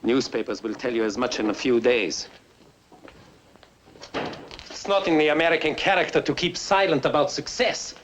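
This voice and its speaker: A man acts out a proud fugitive scientist from a 1950s science fiction film. He is mocking and defiant, with a medium-pitched voice and theatrical, self-important delivery. The old soundtrack carries a faint layer of noise. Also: normal speed, long pauses, fairly bright tone, lightly acted. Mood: bitter, taunting.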